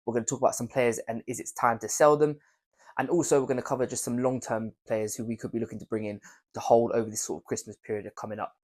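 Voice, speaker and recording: A young man talks quickly, his voice croaky from a cold. He rambles and repeats himself in a casual chat with his viewers, at a middling pitch. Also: steady tone, darkish tone. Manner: rambling, repeats words, hedges with "I think". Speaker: fantasy football YouTuber. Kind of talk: casual video commentary.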